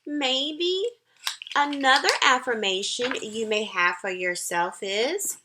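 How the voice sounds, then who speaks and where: A woman school counselor giving an encouraging, nurturing talk to young children, with deliberate, emphatic phrasing and pauses. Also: a little high, animated, noisy background.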